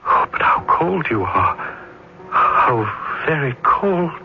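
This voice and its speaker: An actor playing an ardent, lovestruck young student in florid, earnest old radio drama style. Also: male voice, fairly high pitch, big pitch swings, exaggerated voice. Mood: horrified. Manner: earnest, florid, halting declarations. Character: ardent, lovestruck young male student, sentimental and high-strung. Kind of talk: old radio drama acting with first-person narration. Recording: dull, noisy old radio broadcast.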